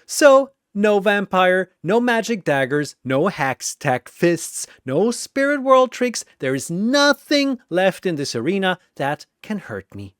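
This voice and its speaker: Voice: medium pitch, sing-song, slow pace, hardly any pauses, clear, resonant tone, dry recording, studio quality, pitched above the performer's own voice. A narrator voicing a manic, gleeful triangle demon with a mocking, theatrical delivery in an audio story. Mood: gloating.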